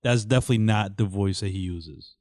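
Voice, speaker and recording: A man's voice: fairly low pitch, a little fast, darkish tone, no echo, clean studio sound. A young man co-hosting a casual podcast, reading out fan questions in an upbeat, teasing way.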